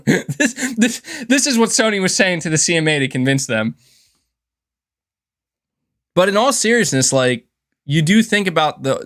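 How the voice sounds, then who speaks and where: A man's voice: a little high, fairly bright tone. A man hosting a livestream in casual, chatty commentary, who often chuckles and says "dude" and "man". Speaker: livestream host.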